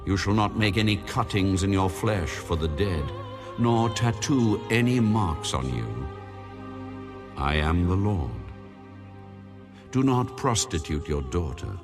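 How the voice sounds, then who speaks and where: An almighty male deity in a scripture audio drama, speaking in a very low voice. He is solemn and commanding, declaring each law and judgment as final. Music plays clearly behind him.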